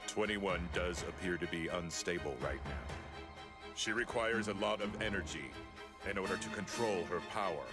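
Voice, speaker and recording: A big, grave android fighter from a video game. His voice is very low, with formal, deadpan, measured delivery. Loud music plays underneath. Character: calm, stoic male android fighter, large and grave. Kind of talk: English video game voice acting.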